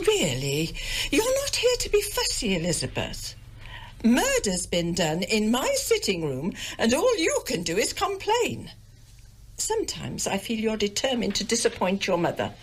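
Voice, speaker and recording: A woman's voice: fairly high pitch, huge pitch swings, measured pace, a little hiss or hum. An actress playing a domineering, self-pitying older English village mother in a radio drama, haughty and querulous. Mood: fussy, scolding. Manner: querulous, haughty, melodramatically aggrieved.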